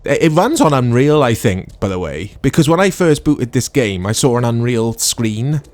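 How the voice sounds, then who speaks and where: A man's voice: mid-range voice, animated, normal speed, no echo. A man giving casual, sarcastic gameplay commentary, chatting and laughing at himself as he plays. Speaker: let's-play commentator.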